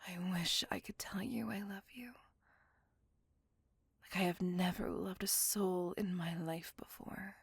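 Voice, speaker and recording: Woman acting a breathy, whispering, lovelorn lady vampire. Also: lowish voice, fairly even intonation, no echo, exaggerated voice. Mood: wistful, yearning. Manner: slow, formal, tender and wistful. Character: lovelorn, courteous, melancholy female vampire on a date. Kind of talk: ASMR roleplay, intimate monologue.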